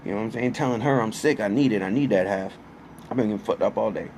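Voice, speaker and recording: A man's voice: fairly low pitch, quick pace, a little mellow. A man in his thirties telling a casual, profane personal story in a slangy, conversational way, quoting dialogue as he goes. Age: 30s. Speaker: storyteller and host. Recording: clear background noise.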